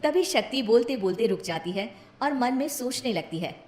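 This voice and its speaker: A woman's voice: fairly high pitch, lively intonation, moderate pace, a little mellow, roomy sound. A woman reading a scripted cartoon moral story as its narrator.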